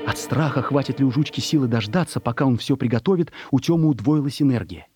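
A man narrating a children's story in an expressive, measured, suspenseful dramatic reading. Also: mid-range voice, soft background music.

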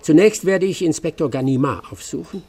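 An actor playing a proud, sharp-witted English master detective in a radio drama, self-assured and lecturing. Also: male voice, fairly high pitch, expressive, a little fast, breathy edge. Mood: condescending. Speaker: detective Herlock Sholmes.

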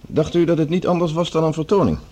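A man playing a calm, sceptical, even-handed colleague in a radio play, reasoning diplomatically in conversation. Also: fairly high pitch, quick pace, lightly acted.

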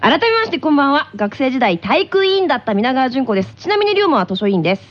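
A woman's voice: high-pitched, very animated, fast. A woman co-hosting a radio show, moving between introductions and playful banter. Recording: muffled radio audio, faint music. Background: faint music.

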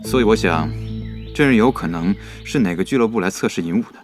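A man playing a seasoned, analytical gaming guild leader in a Chinese audio drama, speaking in a measured, composed way. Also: fairly low pitch, expressive, close to an everyday voice. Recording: music bed under the voice.

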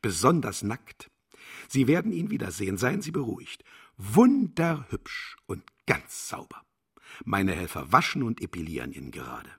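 An actor playing a cynical, condescending older mentor in a radio play, with a husky voice and a mocking, patronizing manner. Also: male voice, lowish voice, huge pitch swings, moderate pace, full character voice. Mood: smugly macabre.

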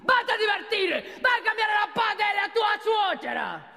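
An actress playing a brazen, sharp-tongued transgender street prostitute who cajoles and mocks in a theatrical radio monologue. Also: female voice, extremely high pitch, sing-song, normal speed, gravelly, some chatter behind, full character voice. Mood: scornful, angry. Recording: reverberant theatre hall, music behind.

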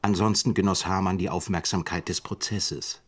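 A very low male voice as a sober writer-chronicler. He narrates a radio play gravely and factually, in a measured way. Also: fairly even intonation. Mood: dry, reporting.

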